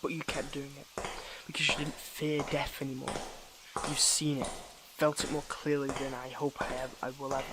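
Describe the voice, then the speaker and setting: A man playing a weary, defiant young superhero in a dramatic audio roleplay, speaking haltingly and broodingly to the listener. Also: medium pitch, flat delivery, heavy background noise, close to an everyday voice. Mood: bitter, reflective.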